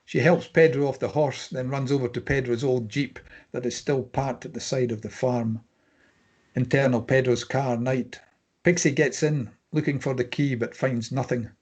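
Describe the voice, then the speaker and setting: A man's voice: medium pitch, steady tone, moderate pace, a little mellow, slightly rough. A man narrating a screenplay, reading scene headings and stage directions at a table read.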